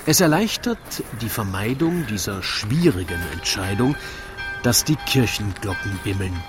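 A man's voice: fairly low pitch, lively intonation, a little slow, music in the background. A man narrating a rhymed radio drama in a wry, dramatic way.

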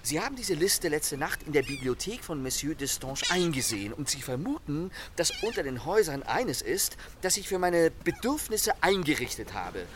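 Suave, animated gentleman thief, higher-pitched. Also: moderate pace, hardly any pauses, background noise. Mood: smug, irritated. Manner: theatrical, urbane, playfully threatening. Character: suave, mocking gentleman thief, commanding and self-assured. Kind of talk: German radio play acting.

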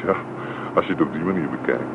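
An actor playing an earnest, self-doubting young farmer's son in a Dutch radio play. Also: male voice, extremely low pitch, quick pace, gravelly. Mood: modest, chatty. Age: young adult. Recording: tinny, noisy old radio play recording.

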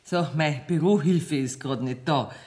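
An actress playing a shrewd, commanding businesswoman in a radio play, speaking with a Bavarian accent in a brisk, familiar manner. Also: low voice, background noise. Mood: businesslike. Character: bossy, shrewd Bavarian businesswoman handling money with folksy confidence.

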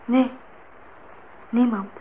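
A lovestruck, naive young woman in a radio play, quietly stubborn. Her voice is fairly high and she answers in short, plain phrases. The recording is thin as a telephone line, with faint noise. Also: fairly even intonation, drawn-out words. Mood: subdued, resigned. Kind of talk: radio drama acting. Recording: thin phone-like sound, noisy old radio recording.

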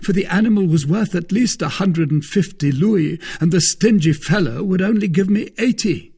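A man voicing a big, vain, boastful gentleman in a hearty, pompous manner for an audiobook. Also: sits high, normal speed, slightly rough, pitched above the performer's own voice.

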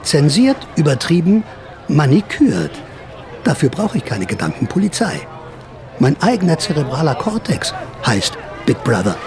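A man's voice: fairly high pitch, big pitch swings, moderate pace, a crowd in the background. An actor playing a sly older counterculture veteran who narrates his memories in a radio drama, confiding, wry and theatrical. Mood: conspiratorial, theatrical.

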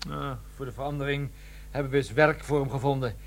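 A man's voice: medium pitch, fairly even intonation, fast, lightly acted. Man playing a cheerful, chatty army desk man. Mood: cheerful, wry. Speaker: actor in a radio drama. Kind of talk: radio play acting.

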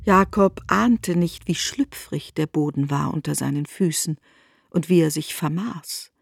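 Lowish-voiced woman, dry literary narration. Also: measured pace, vocal fry, husky, dry recording. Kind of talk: literary scripted narration in a radio drama.

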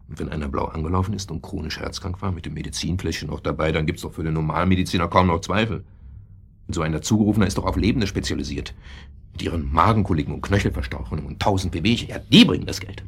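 An actor playing a grieving, guilt-obsessed widower in a radio crime drama, speaking haltingly and repeating himself. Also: male voice, deep voice, gravelly, breathy edge, exaggerated voice. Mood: bitter, sardonic. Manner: halting, repeats himself, sudden agitated outbursts.

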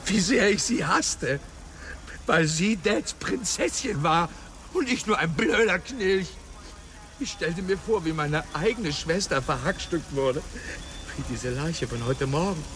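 A man's voice: fairly high pitch, animated, slow pace, fairly bright tone, a little hiss or hum. An actor playing a volatile police detective who pours out a bitter confession about his lost sister in a German radio drama. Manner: intense, confessional, pushy. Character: volatile male cop haunted by his sister.

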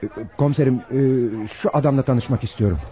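An actor playing a distraught, emotional gentleman in a radio play, agitated and hesitant in his dramatic delivery. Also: medium pitch, fast, some chatter behind, lightly acted. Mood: anxious. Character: distraught, emotional gentleman cheated by child seller. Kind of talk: Turkish radio theatre acting.

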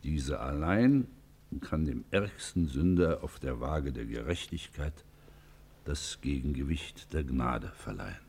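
An actor playing a grave, earnest judge who exhorts in stately, formal language in a classic German radio play. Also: male voice, very deep voice, lively intonation, unhurried, darkish tone, husky, heavily acted. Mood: earnest exhortation.

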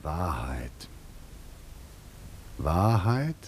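An actor playing a stern, husky-voiced father and state prosecutor in a 1960s German radio drama. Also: deep voice, sing-song, drawn-out words, darkish tone, a little hiss or hum, heavily acted. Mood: broken.

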